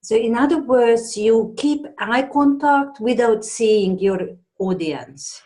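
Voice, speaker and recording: A woman's voice: fairly high pitch, expressive, slow, mellow. A woman participant in a conversation, asking follow-up questions in an informal way.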